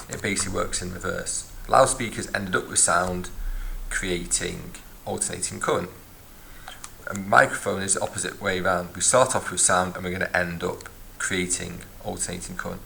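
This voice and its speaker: A man's voice: low-pitched, fairly even intonation, normal speed, vocal fry, bright, forceful tone, slight background noise. A man teaching a physics lesson, explaining step by step and recapping as he writes in a notebook. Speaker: science teacher. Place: at a desk, filming a notebook from above.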